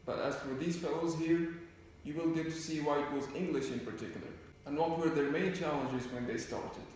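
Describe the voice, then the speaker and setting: A man's voice: fairly high pitch, fairly quick, a little mellow. Young man in an echoey hall. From Algeria. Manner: formal, wordy phrasing. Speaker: documentary host and interviewer. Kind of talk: documentary narration and interview. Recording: echoey hall with faint noise.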